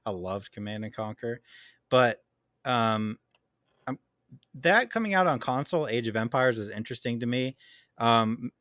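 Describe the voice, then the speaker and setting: A man's voice: medium pitch, animated, normal speed, pauses often, a bit bright, no room sound, clean studio sound. A man co-hosting a gaming podcast, chatty and teasing, with many ums. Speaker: podcast co-host. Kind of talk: casual podcast chat.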